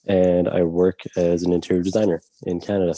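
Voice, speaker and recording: Very deep, dark-voiced man in his thirties. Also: level tone, fairly quick, no room sound. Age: 30s. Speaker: ambassador.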